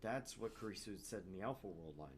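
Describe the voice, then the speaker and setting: Low-voiced man reading a visual novel. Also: lively intonation, fast. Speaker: gaming channel host reading a visual novel aloud. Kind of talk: narration of a visual novel with casual asides.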